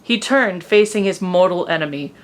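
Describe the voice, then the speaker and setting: A woman presents a piece of fan fiction as a narrator. Her voice is a little low, and she reads in an expressive, amused way suited to comedy. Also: moderate pace.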